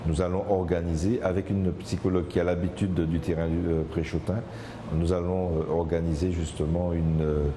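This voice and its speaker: A man speaking for the municipality in an interview, halting with many hesitations. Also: low voice, flat delivery, roomy sound, in a large hall, background noise. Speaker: municipal official.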